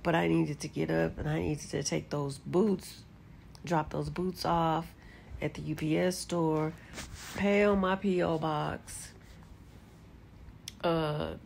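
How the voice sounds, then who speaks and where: Low gravelly-voiced woman chatting in bed. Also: slight background noise. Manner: relaxed, chatty and candid, with sudden exasperated rises. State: congested from allergies. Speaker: vlogger. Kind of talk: casual chat to camera. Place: bedroom, sitting on a bed indoors. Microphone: phone camera held close.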